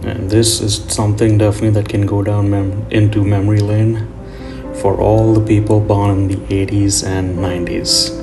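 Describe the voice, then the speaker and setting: Low, even-toned man over guitar music. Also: normal speed. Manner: nostalgic, reflective. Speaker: host of a drawing tutorial channel. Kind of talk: casual rambling voiceover.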